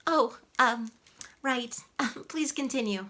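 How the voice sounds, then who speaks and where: Woman playing a high-voiced eager young mermaid. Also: slow, pushed and bright, exaggerated voice. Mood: excited. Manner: eager, playful, gushing. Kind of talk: scripted storytime performance. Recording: clear ambient noise behind voice.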